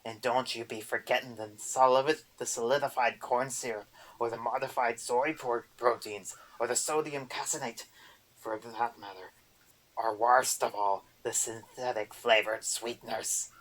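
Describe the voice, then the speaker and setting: A man's voice: medium pitch, fairly even intonation, a little slow, a bit bright, poor audio. A man voicing a spiteful little male boggart that screeches and rants insults in dialect. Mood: ranting.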